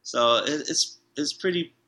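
A man with a bright, forward voice talks in a laid-back, rambling way, circling back on his words with lots of restarts and "you know, bro." He sounds like a tattoo artist chatting about his craft in a casual interview. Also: a little high, unhurried. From Hawaii, raised in San Diego.

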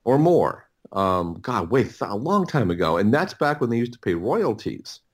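A middle-aged man talking casually as an interview guest, in a halting, rambling way with many repeated words and restarts. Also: mid-range voice, big pitch swings. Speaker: interview guest, audiobook producer.

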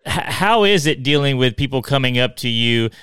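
Co-host guy, playful teasing, smooth clear voice, dry close sound. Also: mid-range voice, lively intonation, normal speed, a bit bright, no room sound. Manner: teasing, playful, stumbles over words. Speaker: podcast co-host and camera operator. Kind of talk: casual podcast chat.

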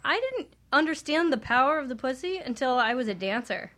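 A woman's voice: sits high, big pitch swings, brisk, a bit bright. A woman guest on a talk radio show, chatting frankly and laughing often. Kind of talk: candid talk radio chat.

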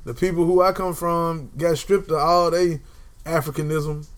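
A man's voice: fairly high pitch, steady tone, moderate pace. A young man in casual conversation, talking emphatically and repeating phrases to drive a point home. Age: young adult.

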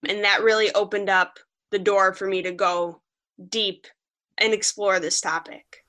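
A woman's voice: mid-range voice, steady tone, unhurried, bright, forceful tone. A young woman, a freelance journalist from Western New York, earnestly recounting her reporting as a podcast guest. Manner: earnest, vivid storytelling, quoting others. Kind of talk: podcast interview, storytelling.

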